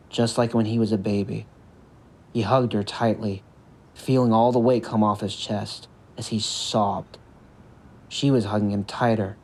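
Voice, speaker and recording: A man's voice: on the low side, darkish tone. A man reading a scripted story narration as the storyteller of an audio drama. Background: music.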